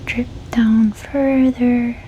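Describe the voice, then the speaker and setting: Very slow, soft breathy woman guiding meditation. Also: a little high, flat delivery, highs cut off, slight background noise. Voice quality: soft, breathy, often near-whisper. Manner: gentle, unhurried, soothing instruction. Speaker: host, meditation guide. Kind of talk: soft-spoken ASMR guided meditation.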